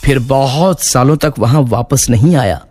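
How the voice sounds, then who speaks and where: A man's voice: mid-range voice, a little slow, a little mellow, slight background noise. A man narrating a scripted children's moral story as its storyteller. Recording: faint music bed.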